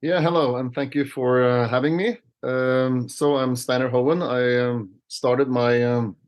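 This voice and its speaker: Halting Norwegian-accented man in his fifties. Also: mid-range voice, steady tone. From Norway. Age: 50s. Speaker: interview guest, athletics meeting director. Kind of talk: conversational career account.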